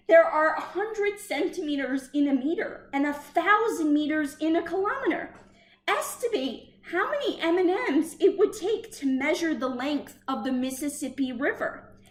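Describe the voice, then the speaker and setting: A woman reads a picture book aloud like a teacher to young children. Her voice is high and swings widely in pitch, lifting on the questions. She takes it slowly and deliberately, in a roomy, echoing space. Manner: expressive, deliberate storytelling with questioning lifts.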